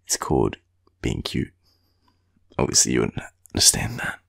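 A tired young Australian man rambling in an intimate boyfriend roleplay, with a husky, gravelly voice and a playful, teasing, halting manner. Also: rumbling low, sing-song, a bit bright. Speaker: boyfriend roleplay audio performer.

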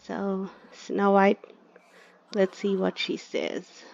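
A woman gives casual, chatty gameplay commentary and talks to her characters as she plays. She speaks slowly, and her voice has a gravelly edge. The sound is dry and slightly dull, like a lo-fi recording. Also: medium pitch, level tone. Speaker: gaming video creator.

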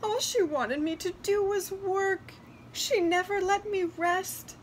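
A woman voicing a gentle, obliging little girl in a storybook, sweet, childlike and plaintive. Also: very high-pitched, sing-song, measured pace, slight background noise, pitched above the performer's own voice, heavily acted. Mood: tearful.

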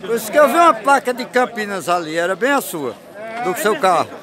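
High-pitched, animated Northeastern Brazilian man. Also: fairly quick. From Brazil, rural Pernambuco. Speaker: vlogger filming at a livestock fair. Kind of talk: casual chat while filming. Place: outdoors at an open cattle fair. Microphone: handheld phone behind the camera. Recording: busy outdoor crowd noise. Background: crowd chatter.

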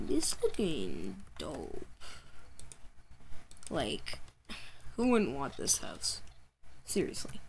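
A woman's voice: low voice, vocal fry, a little hiss or hum. A young woman chatting playfully through her gameplay, her voice tired late at night, with yelps when she panics. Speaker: gaming channel host. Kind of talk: casual gameplay commentary. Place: home room at night.

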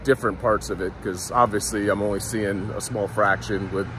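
A man's voice: lowish voice, fairly even intonation, moderate pace, background noise. A man around his forties talking casually and informally as a laid-back travel vlogger. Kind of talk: casual travel vlog narration. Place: outdoors on town streets and beach. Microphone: phone at arm's length.

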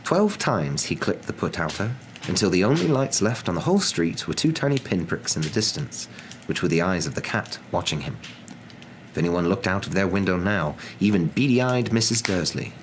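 A young man narrating a story in a soft, dramatic ASMR reading style. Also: low-pitched, lively intonation, a little fast, a little hiss or hum, low-quality recording. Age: young adult.

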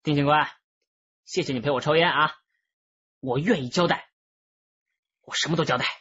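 Cocky streetwise teenage boy, husky. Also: a little high, normal speed, bright, forceful tone, muffled recording. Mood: confessing. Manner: cocky, wheedling. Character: brash, slippery streetwise teenage boy under questioning. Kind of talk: radio drama acting.

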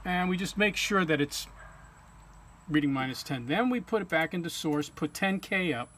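Higher-pitched man giving a hands-on demo, noisy. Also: moderate pace, a bit bright. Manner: step-by-step, plain-spoken. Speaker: technician demonstrating equipment calibration. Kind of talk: informal hands-on tutorial. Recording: clear background hum or ambient noise.

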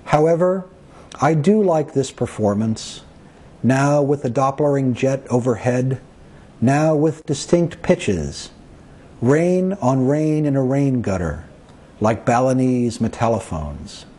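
A man reading his own poems as a poet, with measured, deliberate phrasing and pauses between short phrases. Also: medium pitch, lively intonation, mellow, breathy edge. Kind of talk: poetry reading of own work.

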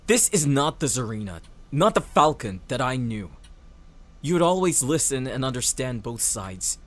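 An actor playing a devoted, heartbroken young man in an emotional audio drama, speaking earnestly and haltingly. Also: medium pitch, very animated, a bit bright. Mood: betrayed, upset.

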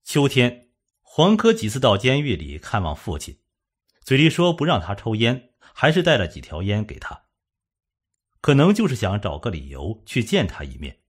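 A man's voice: mid-range voice, wildly swooping pitch, moderate pace, husky. A man narrating a suspense audiobook in a dramatic, solemn storytelling manner.

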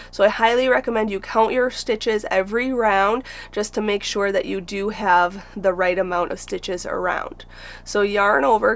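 A woman's voice: mid-range voice, a bit bright. A woman teaching crochet step by step, patiently counting stitches aloud as she works.

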